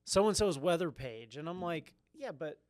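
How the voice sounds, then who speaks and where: Man co-host, higher-pitched, dry close sound, jokey, casual remote podcast banter. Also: lively intonation, dry recording. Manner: jokey, quick back-channel replies, laughs along. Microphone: virtual podcast, remote call.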